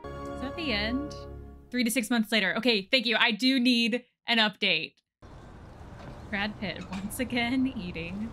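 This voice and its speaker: A young woman, chatting casually and excitedly as she reacts on a desk microphone. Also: a little high, measured pace, long pauses, faint music behind. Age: young adult. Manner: excitable, expressive. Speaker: film reaction video host. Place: small decorated indoor studio room. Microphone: desktop USB microphone.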